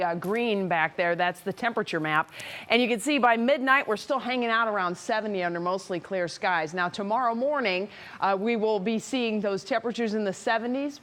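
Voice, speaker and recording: A woman presents the weather on television. Her voice sits at a middle pitch. She speaks conversationally from a studio and stresses her points as she ad-libs through the forecast. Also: a bit bright.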